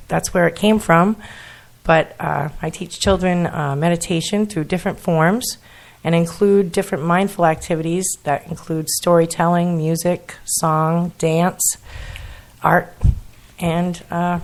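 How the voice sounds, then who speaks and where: A woman in her forties with a Rhode Island accent, a guest on a local radio talk show who laughs often and speaks earnestly. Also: low voice. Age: 40s. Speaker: guest, children's meditation teacher. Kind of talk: casual radio interview.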